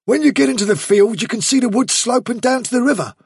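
A man voicing a brash, bold young buck rabbit who speaks bluntly and forcefully. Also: very high-pitched, quick pace, breathy edge, no room sound, studio quality, voice pushed up, exaggerated voice. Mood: urgent.

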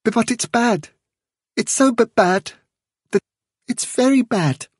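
A man's voice: expressive, normal speed, slightly rough, dry recording, studio quality. A man voicing a frightened, miserable young male rabbit in a storybook, stammering and blurting in urgent pleas. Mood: anxious, miserable. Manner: stammering, blurting, high-strung.

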